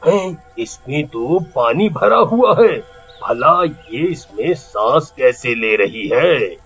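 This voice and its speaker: A man voicing a scheming, easily panicked village thief in a cartoon, with a raspy, exclamatory, melodramatic delivery. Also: medium pitch, lively intonation, slow, a bit bright, full character voice. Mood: suspicious, puzzled. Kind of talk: cartoon voice acting. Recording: muffled lo-fi audio, music behind.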